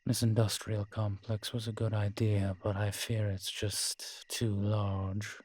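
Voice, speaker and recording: A man playing a world-weary, morose male vampire in a roleplay audio drama, speaking in a measured, formal, brooding manner with sighs and pauses. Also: lowish voice, monotone, a little mellow, dry recording. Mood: matter-of-fact, wry. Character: weary old male vampire, morose realist, formerly cruel.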